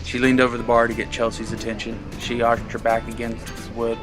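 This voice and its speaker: A man's voice: mid-range voice, monotone, brisk. A man giving a dramatic first-person reading of a story as its narrator. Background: guitar music.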